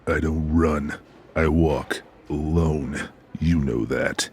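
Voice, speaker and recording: A man's voice: very deep voice, steady tone, slow pace, a little mellow, a little hiss or hum, full character voice. A man playing a guarded, laconic lone-wolf older brother in an audiobook, terse and stoic. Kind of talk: audiobook character acting.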